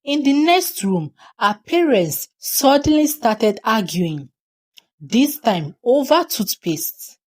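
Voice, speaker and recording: A woman's voice: on the high side, big pitch swings, slow. A woman narrating a folktale expressively as a storyteller.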